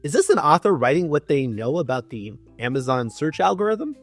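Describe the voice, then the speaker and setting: A man's voice: on the high side, big pitch swings, fairly quick, soft background music. A man hosting a comedic dramatic book reading, reading in a mock-solemn theatrical way with dry, sarcastic asides. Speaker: host, writing coach.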